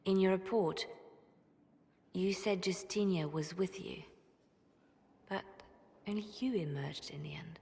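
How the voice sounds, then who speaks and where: A woman playing a loyal, haunted former spymaster in a video game, halting and hushed, as if grieving. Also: on the low side, in a large hall, a little hiss or hum. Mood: haunted, searching. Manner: slow, halting, hushed and breathy. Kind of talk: dramatic video game acting.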